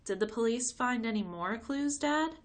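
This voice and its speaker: Casting: curious, jumpy schoolboy for a storybook, lifted pitch, casual kid slang, read by a woman. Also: animated, moderate pace, natural voice. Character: eager, nervous young boy detective, curious. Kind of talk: read-aloud children's storybook.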